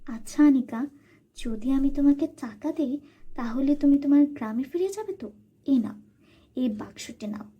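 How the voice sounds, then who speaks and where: A woman voicing a kindly fairy in a children's cartoon, speaking in a gentle, instructing way. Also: high-pitched, slightly rough, exaggerated voice. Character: kindly female fairy giving advice and gifts.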